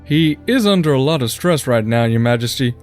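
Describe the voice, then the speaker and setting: A man's voice: medium pitch, lively intonation, fairly quick, darkish tone, faint music behind, natural voice. A man playing an earnest, deferential pony royal guard in a cartoon audio drama, respectful and placating. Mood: reassuring.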